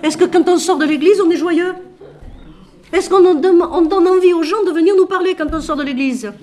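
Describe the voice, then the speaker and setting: A woman, a Franciscan sister and author, talks with feeling about her book, swinging from emphatic questions to quiet asides while she has a cold. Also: extremely high pitch, fairly quick. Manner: expressive, shifting from loud emphasis to quiet asides; asks rhetorical questions.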